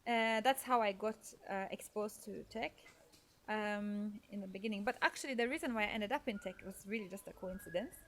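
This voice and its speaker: A woman from Norway talks through her story as a podcast guest, pausing on fillers and restarting her sentences as she thinks. Her voice sits at a middle pitch. A faint ambient noise runs underneath. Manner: thoughtful, with frequent fillers and restarts. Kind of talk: conversational interview storytelling.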